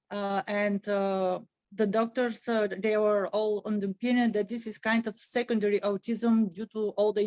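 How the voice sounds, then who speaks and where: A middle-aged woman with a Bulgarian accent answers an interview question at a medium pitch. She talks in a halting way and fills her pauses with frequent "uh"s. Her sentences often stall and start again. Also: darkish tone, no room sound. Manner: halting, many "uh" fillers and restarts.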